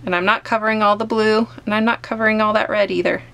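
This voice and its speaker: A woman art teacher calmly talking through a step-by-step painting tutorial as she paints at a table. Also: medium pitch, vocal fry. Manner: gentle, unhurried explaining while painting. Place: home studio, overhead camera on painting table.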